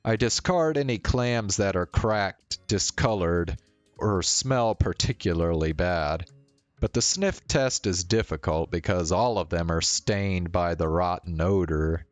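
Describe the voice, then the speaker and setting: A man's voice: sits low, a little slow, dry recording, soft background music. A man narrating a visual novel aloud as a streamer.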